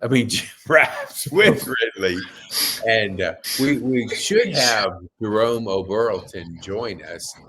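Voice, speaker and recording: A man hosting a podcast interview who talks in a halting way, often restarting his sentences as he introduces his guest. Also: fairly low pitch, expressive, a bit bright. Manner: halting, with many restarts and fillers. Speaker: podcast host.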